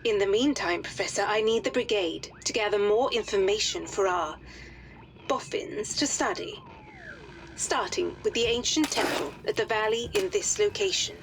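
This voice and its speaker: A woman plays an authoritative upper-class British lady in a video game. Her delivery is formal and measured at a medium pitch. Clear ambient noise sits behind the voice. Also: lightly acted. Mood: grave, composed. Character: composed upper-class English lady giving mission briefings.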